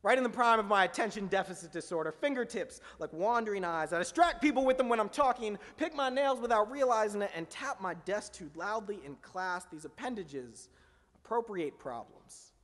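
A man's voice: high-pitched, animated, a little fast, fairly bright tone, long reverb tail. A young man performing spoken word poetry on a small stage, speaking into a stand mic with rhythmic, emphatic delivery. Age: young adult. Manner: rhythmic, emphatic delivery with sweeping hand gestures. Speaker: slam poet. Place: small indoor stage in front of a window. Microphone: stand mic at mouth height.

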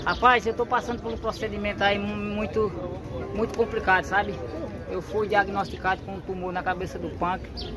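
A middle-aged man speaking earnestly and gratefully to viewers, a little halting, filmed on a phone at an outdoor cattle pen. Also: sits high, little pitch movement. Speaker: interviewee appealing for help. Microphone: phone camera held by another person. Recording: outdoor crowd noise. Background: crowd chatter.

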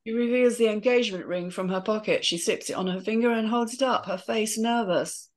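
A woman's voice: a little high, a little fast. A woman reading out scene headings and stage directions in a script read-through over a video call.

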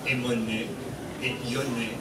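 A man tells a story slowly and solemnly, like a theatrical narrator. His declamatory voice comes from far off with echo, under loud noise. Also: medium pitch, little pitch movement. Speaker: narrator of a puppet tableau. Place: heard across an outdoor square. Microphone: far camera. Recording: echoey, distant, very noisy outdoor playback.

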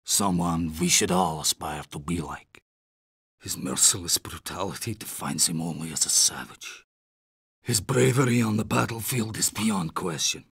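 A troubled, idealistic young Red Army man from a war video game. He speaks English with a Russian accent and a heavily gravelly edge. The recording is dry, with no room around the voice. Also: deep voice, moderate pace, fairly bright tone, no echo, exaggerated voice. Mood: somber, reflective. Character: idealistic young Soviet soldier, troubled by brutality. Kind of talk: video game character voice lines.

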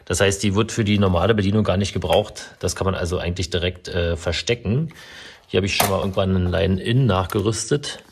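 Workshop host, man, low voice, relaxed how-to talk with a faint hiss behind it. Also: fairly quick. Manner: casual, explanatory, with frequent fillers. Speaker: car mechanic and video host. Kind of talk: hands-on workshop walkthrough. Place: indoor car workshop beside a stripped car.

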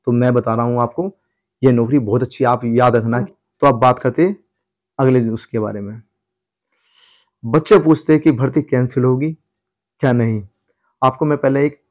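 North indian guy, exam coach talking straight to students, warm dark-ish voice, mid pitch, repeats his advice. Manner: instructive, repeats advice, addresses students directly. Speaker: exam coaching teacher, former police employee. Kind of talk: informal exam guidance lesson.

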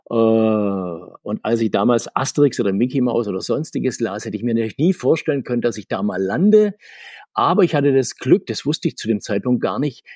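Husky middle-aged guy rambling through old work stories, keeps correcting himself. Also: medium pitch, darkish tone, no echo, studio quality. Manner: rambling, self-correcting, reflective. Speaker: guest, former comics publishing manager. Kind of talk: casual interview.

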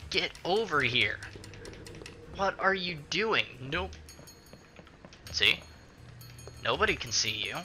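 A man's voice: a little high, very animated, slow, long pauses, bright, forceful tone, faint music behind. A man giving casual let's-play commentary, muttering to himself and swearing mildly as he plays. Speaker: gaming video host.

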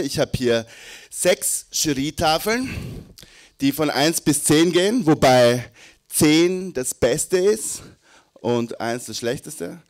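A man hosting a poetry slam on a theatre stage, playful as he explains the rules to the crowd. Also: fairly low pitch. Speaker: slam host.

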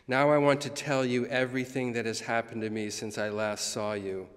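A man in his sixties giving a poetry reading in a measured, solemn delivery. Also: mid-range voice. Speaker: poet. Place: large gallery hall, before seated audience. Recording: long hall reverb from podium.

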